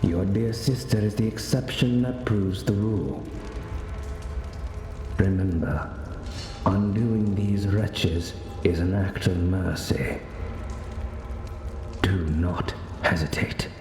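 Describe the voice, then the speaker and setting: An actor playing a calm, wry male mentor in a video game, speaking in a measured, formal, instructive way. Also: deep voice, noisy background. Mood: wry, composed. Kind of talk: video game cutscene acting. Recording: echoey hall reverb, music behind.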